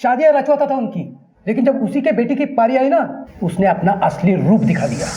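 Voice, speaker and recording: A man's voice: very high voice, lively intonation, fairly quick, background noise. A man playing an aggrieved, pleading middle-aged father in a TV crime serial. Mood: bitter. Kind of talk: Hindi TV crime serial acting. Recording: echoey, dull sound with dramatic music.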